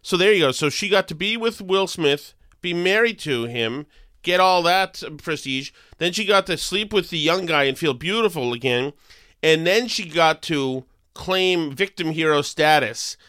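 A man's voice: a little high, expressive, a little slow, bright, forceful tone, clean tone, no room sound, clean studio sound. Nasal man with a head cold. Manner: sarcastic, emphatic ranting. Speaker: podcast co-host. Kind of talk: casual political chat.

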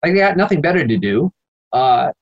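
A man's voice: a little high, big pitch swings, brisk, muffled recording. An American man, a priest being interviewed, talking emphatically and indignantly with rambling asides. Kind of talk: animated conversational interview answers.

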